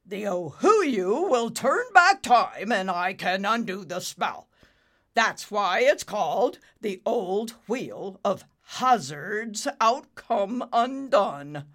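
A woman's voice: medium pitch, lively intonation, slow. A woman voicing a bumbling, self-assured old male wizard in a storybook reading, with fussy, fretful muttering. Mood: pompous.

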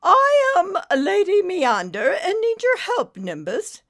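A woman's voice: very high-pitched, wildly swooping pitch, takes it slowly, fairly bright tone, pitched above the performer's own voice, heavily acted. A woman voicing a stately, theatrical lady snake with a posh British accent and a gushing, melodramatic manner in a story. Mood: gracious. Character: stately, theatrical lady snake, flustered, charming and self-pitying.

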